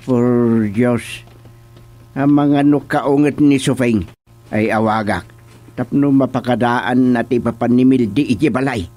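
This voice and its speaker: A man's voice: medium pitch, unhurried, mellow, natural voice. A man playing an exasperated, grumbling village chairman in a comedic radio drama.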